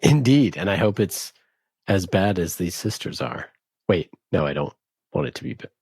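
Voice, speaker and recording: A male podcast host jokes his way through an interview. His voice is very deep and a little husky. The sound is close and dry. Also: lively intonation, moderate pace, darkish tone, no echo. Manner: playful, joking. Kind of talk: casual interview chat.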